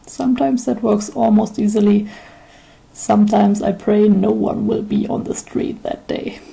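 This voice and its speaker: A woman's voice: medium pitch, vocal fry, mellow, low-quality recording. A woman in her twenties from Germany, a native German speaker, talking in English in an earnest, reflective personal vlog. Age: 20s. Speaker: vlogger.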